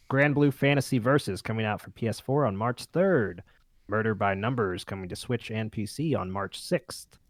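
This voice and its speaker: A man's voice: medium pitch, dry recording. A man hosting a casual podcast, chatting with the group and reading through a list. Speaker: podcast host.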